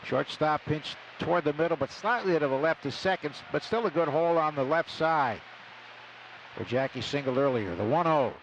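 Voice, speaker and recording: A man with a slightly high voice calls a baseball game live on the radio from the booth. His phrases are short and clipped, rising for the big plays. Clear stadium noise runs behind him throughout. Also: lively intonation, moderate pace, poor audio. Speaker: radio baseball play-by-play announcer. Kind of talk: live sports commentary. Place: broadcast booth at an outdoor ballpark. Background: loud ballpark ambience.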